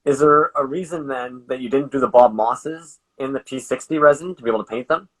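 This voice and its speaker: A man's voice: medium pitch, brisk, fairly bright tone, rough recording. A man hosting a casual interview, putting questions to his guest in an informal way. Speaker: interviewer, host relaying viewer questions.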